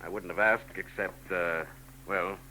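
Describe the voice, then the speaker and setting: Brusque grim husband, sharp bright tone, curt, faint hiss of old radio. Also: male voice, deep voice, steady tone, a little slow, full character voice, low-quality recording. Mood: tense. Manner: curt and tense. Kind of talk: 1940s radio acting.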